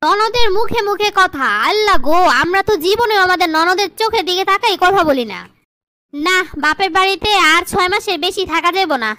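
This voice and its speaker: A woman's voice: very high-pitched, brisk, pushed and bright, no echo, studio quality. A woman voicing a bossy, demanding grown sister-in-law in a cartoon, with an entitled manner and a colloquial Bangladeshi accent. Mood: indignant. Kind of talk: cartoon drama dialogue.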